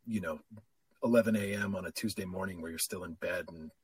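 A middle-aged man, a coach and podcast guest, talking candidly and reflectively in a relaxed conversation. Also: low-pitched, a little fast, slightly rough, no echo. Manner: reflective, candid, self-deprecating. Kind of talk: casual conversation.

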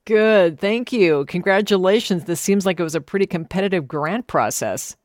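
A woman's voice: lowish voice, animated, normal speed, a little mellow, no echo, studio quality. A middle-aged American woman hosting a radio program in a conversational interviewing style. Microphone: close studio microphone.

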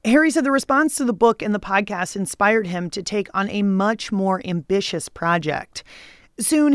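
A woman hosting a radio show, reading scripted links in a brisk, upbeat, conversational way. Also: mid-range voice, no room sound, clean studio sound.

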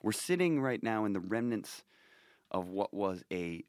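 A man's voice: on the low side, very animated, a little slow, a little mellow, no echo. A man hosting a podcast in casual chat, a bit nasal from a cold, rambling and restarting his sentences with jokes. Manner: rambling, self-interrupting, joking. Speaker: podcast host.

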